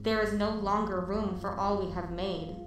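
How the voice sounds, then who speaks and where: A merciless robot soldier, played by a woman, declaims stiffly in a radio play. The voice rings with heavy echo, far from the mic, over clear noise. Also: mid-range voice, steady tone, moderate pace, lightly acted. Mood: formal, dutiful. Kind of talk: radio drama acting. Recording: echoey, distant, noisy recording.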